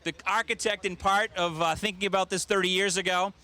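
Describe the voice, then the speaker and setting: A man's voice: high-pitched, fairly even intonation, brisk, bright, forceful tone. A man speaking informally and off the cuff as an official host, introducing speakers with folksy joking at an outdoor event. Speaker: government official hosting a ceremony. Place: outdoor public event in rain.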